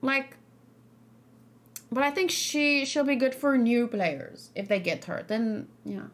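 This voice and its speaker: Female streamer, fairly high voice, casual and rambling, reacting and discussing off the cuff. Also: expressive, slow pace. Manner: rambling, thinking aloud, hesitant. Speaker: streamer host.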